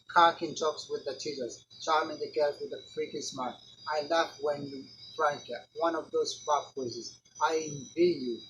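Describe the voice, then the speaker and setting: A man's voice: fairly high pitch, fairly bright tone, a little hiss or hum, natural voice, poor audio. A man performing a dramatic monologue as a shy, easily forgotten top student who confesses to envying a classmate. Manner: reflective, confessional.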